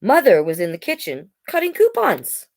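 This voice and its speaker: A woman's voice: fairly high pitch, huge pitch swings, normal speed, gravelly. A woman hosting a storytime, reading a children's book aloud expressively and coaxing young listeners with little asides. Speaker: storytime host, drag king performer.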